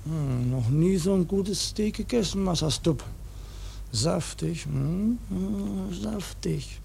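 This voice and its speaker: A very old ship's cook for a radio play. He is stubborn and pious in a rough-edged way, cursing as he sermonizes. The voice is husky and a little high for a man, and he takes his time over the words. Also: animated, takes it slowly, warm tone, full character voice. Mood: plain, deferential. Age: older. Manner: grumbling mock-preacher, swears constantly. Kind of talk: German radio play acting.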